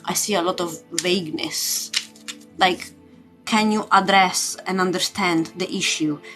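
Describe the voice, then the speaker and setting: A woman's voice: lowish voice, steady tone, unhurried. A woman giving a casual live tarot reading as a spiritual coach, searching for words and often repeating them. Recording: loud music under the voice. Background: music.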